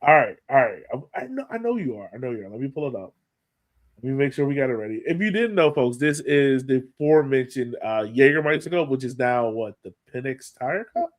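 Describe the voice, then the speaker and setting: A man's voice: medium pitch, very animated, a little fast. Casual male sports podcast co-host. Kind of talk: casual podcast chat about soccer.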